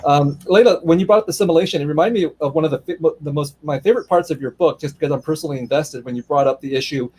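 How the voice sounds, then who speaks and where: A man's voice: fairly high pitch, very fast, few pauses, a little mellow, slight background noise. A man moderating a livestreamed author conversation by video call, talking thoughtfully with frequent restarts and repeated words.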